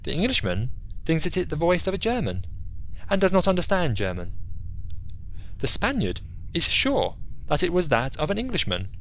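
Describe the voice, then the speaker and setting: Man voicing Dupin, an animated, analytical detective. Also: a little high, normal speed, lo-fi sound. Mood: assured, explanatory. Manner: formal, methodical, emphatic, rhetorical. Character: C. Auguste Dupin, analytical, self-assured male detective in Paris. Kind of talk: theatrical audiobook character monologue.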